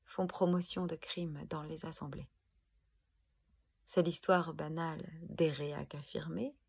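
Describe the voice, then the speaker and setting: A woman reciting spoken-word poetry with deliberate, dramatic phrasing and a breathy, husky voice. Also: on the low side, a little mellow. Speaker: poet performing own protest poem.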